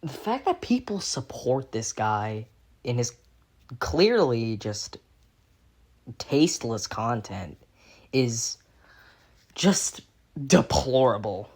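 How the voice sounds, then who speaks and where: A young man in an unscripted critical rant as a video commentator, halting and repeating himself as he gropes for words, exasperated and sweary. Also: mid-range voice, sing-song, breathy edge. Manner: halting, repetitive, groping for words.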